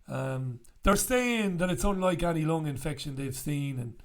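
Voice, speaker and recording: Croaky host guy, bit high voice, blunt and sweary, talking into a mic at home. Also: normal speed. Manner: chatty, blunt, swears freely. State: croaky after illness. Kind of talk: casual direct-to-camera chat. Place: small bright room indoors. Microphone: studio mic on stand in front.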